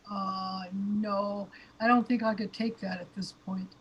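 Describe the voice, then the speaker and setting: Female actor, extremely breathy and hushed: hesitant, overwhelmed character for sci-fi radio drama. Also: mid-range voice, flat delivery, unhurried, lo-fi sound. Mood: anxious. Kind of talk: amateur sci-fi audio drama acting.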